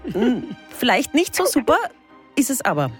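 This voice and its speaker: A woman, co-hosting a radio show and reading absurd horoscopes in a mock-earnest, deadpan way. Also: fairly high pitch, moderate pace, soft background music.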